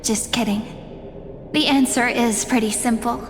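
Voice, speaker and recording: An actress playing a playful, wistful young heroine in a game cutscene, talking in a teasing, gentle way. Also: female voice, fairly high pitch, fairly even intonation, a little slow, background noise, natural voice. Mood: calm. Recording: long reverb with faint music.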